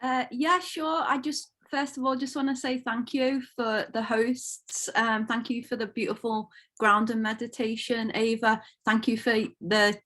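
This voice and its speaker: A woman's voice: a little high, level tone, measured pace, a bit bright. A woman in her fifties from Liverpool, an academic on a panel, introducing herself in a friendly, appreciative way with a few stumbles over a video call. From Liverpool, England.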